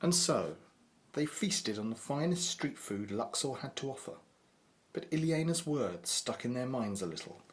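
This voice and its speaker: A man's voice: mid-range voice, animated, moderate pace, natural voice. A man narrating an audio drama as a wry storyteller, reading scripted prose. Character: wry male storyteller narrating an adventure tale.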